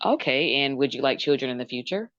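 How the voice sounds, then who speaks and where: A low-voiced woman hosts a group dating show, moving briskly from guest to guest. Her voice sounds close and dry, with slightly dull sound. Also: expressive, fairly bright tone, no room sound. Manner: brisk, repeats short approvals. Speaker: host.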